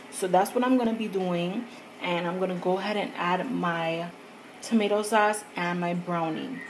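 A woman's voice: lowish voice, slight background noise, low-quality recording. A young woman chatting straight to her viewers while she cooks in her home kitchen, recorded on a phone. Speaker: vlogger. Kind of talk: casual cooking vlog. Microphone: phone camera.

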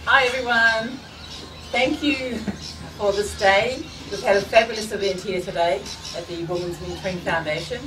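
A middle-aged woman gives a short, cheerful speech at a community event and pauses briefly between thoughts. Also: mid-range voice. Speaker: staff member. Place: open-sided park pavilion outdoors. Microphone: far camera, no mic. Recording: distant outdoor sound with background noise.

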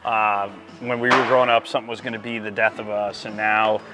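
A man's voice: fairly low pitch, flat delivery, fairly quick, faint music behind, poor audio. Middle-aged man, easygoing coach talk. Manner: conversational, trailing off into softer asides. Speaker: baseball program coach and co-host. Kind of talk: casual podcast chat. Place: cluttered indoor equipment room. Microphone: lapel mic.

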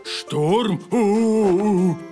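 A woman's voice: mid-range voice, extremely slow, dark tone. A woman voicing a big, timid, gullible yeti in a children's cartoon, hesitant and simple-minded. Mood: worried, timid. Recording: music behind the voice.

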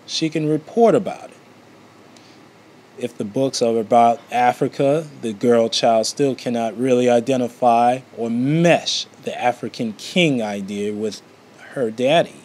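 A man reads aloud from a book at a slow pace, in a mid-pitched voice. His delivery is unpolished and halting, and he often trips on words and repeats phrases to correct himself. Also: darkish tone. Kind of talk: amateur read-aloud of a book.

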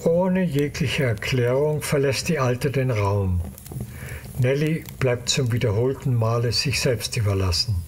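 A man's voice: medium pitch, lively intonation, unhurried. Male audio drama narrator, faint noise behind.

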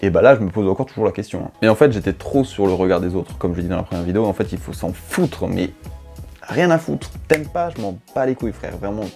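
Low-voiced young man rambling to camera. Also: expressive, fast talker, mellow, faint music behind. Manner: slangy, rambling, self-mocking. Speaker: YouTuber. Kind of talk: improvised casual monologue to camera. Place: dim bedroom studio, indoors. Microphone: lapel mic.

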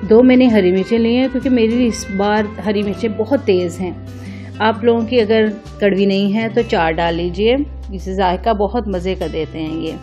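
A woman's voice: mid-range voice, fairly even intonation, moderate pace, dark tone, lo-fi sound, soft background music. A woman hosting a home cooking vlog, casually narrating a recipe step by step and politely instructing viewers.

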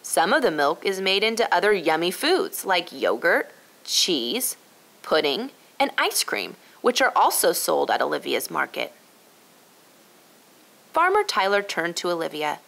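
Expressive woman reading a children's storybook. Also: fairly low pitch, unhurried, creaky. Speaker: narrator. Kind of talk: scripted children's storybook narration.

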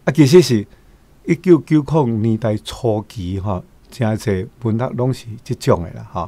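A man's voice: on the low side, moderate pace, dark tone, no room sound. An older man with a Taiwanese accent giving informal commentary as a guest, conversational and often ending phrases on tag particles.

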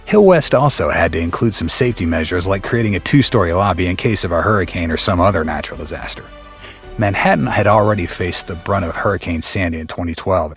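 A man reading a scripted documentary narration in a steady, explanatory way over a close voice-over mic. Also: low-pitched. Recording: faint music bed behind the voice.

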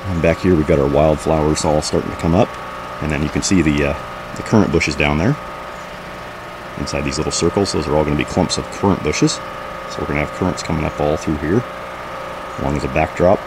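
A man with an exceptionally deep voice hosts a casual walk-through vlog. He talks informally and off the cuff, pointing things out as he goes. The recording is made outdoors, with clear background noise around his voice. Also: brisk, pauses often. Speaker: host of a homesteading vlog. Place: outdoors in a backyard garden.

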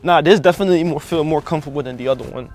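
A man's voice: on the high side, racing, darkish tone, faint music behind. A young man in a mattress store, mumbling sleepily in slangy casual banter. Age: young adult. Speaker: prank video creator.

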